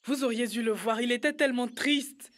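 A woman voicing an earnest, kind-hearted young boy in a children's cartoon, speaking deliberately. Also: a little high, a bit bright, natural voice. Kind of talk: French-dubbed children's cartoon dialogue.

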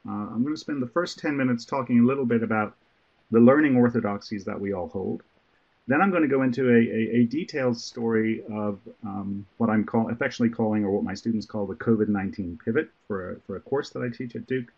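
A man's voice: mid-range voice, fast talker. A man from Ireland with a Southern American accent, presenting a webinar in a conversational way with frequent restarts. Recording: muffled online call audio.

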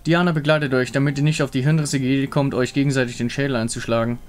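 A man voicing a firm female squad leader in a game story, brisk and authoritative. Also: mid-range voice, steady tone, close to an everyday voice. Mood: stern. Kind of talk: fan voice-over of a game story.

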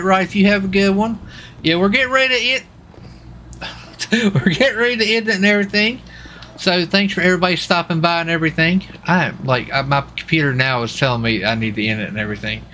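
A man with a bright, punchy voice rambles casually to his stream, circling back over his own words. There is faint noise behind him. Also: high-pitched, a little fast. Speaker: livestreamer and role-play gamer. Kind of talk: casual chat while streaming a game.

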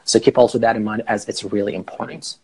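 A man in his thirties, a native Farsi speaker and English teacher, explaining slowly on a live video call through an earphone mic in a small room. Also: lowish voice, steady tone, darkish tone, slightly rough. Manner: explains slowly, rephrasing with many fillers. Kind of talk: casual live video chat. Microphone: earphone headset mic on a video call.

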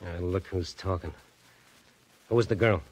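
A man's voice: deep voice, unhurried, darkish tone, slightly rough. An actor playing a sardonic, menacing gangster henchman in a 1940s radio crime drama, talking in curt, slangy threats. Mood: suspicious, threatening. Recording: vintage 1940s radio recording.

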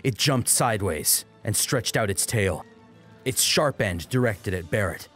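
A man's voice: fairly low pitch, lively intonation, a little slow, music in the background. A man narrating an action story as an audiobook, in a dramatic scripted style.

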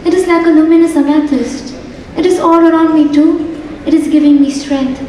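A young woman acting in a stage play as a weary young woman artist, gentle and trusting, with a soft, dreamy delivery. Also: high voice, fairly even intonation, normal speed, slight background noise, lightly acted. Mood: dreamy, drowsy. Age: young adult. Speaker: student actor in a stage play. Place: large theatre stage. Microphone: far camera in the hall. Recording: echoey hall from far off, music behind.

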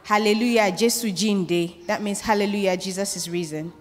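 A woman's voice: medium pitch, steady tone, moderate pace, faint music behind. A young Nigerian woman, a native Yoruba speaker, chatting and laughing as she is interviewed on stage with a handheld mic in a church. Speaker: church member. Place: large church hall. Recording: reverberant church hall.

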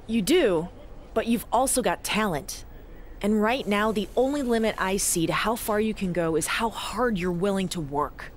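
A woman's voice: mid-range voice, normal speed, natural voice. Woman doing a no-nonsense wrestler coach lady, firm but encouraging, light hum behind. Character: tough supportive female wrestling coach. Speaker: mentor.

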